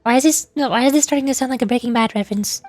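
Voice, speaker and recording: High-pitched playful woman streamer. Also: rapid-fire, darkish tone, faint music behind. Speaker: VTuber streamer reading game dialogue aloud. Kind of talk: playful game read-along with amateur voice acting.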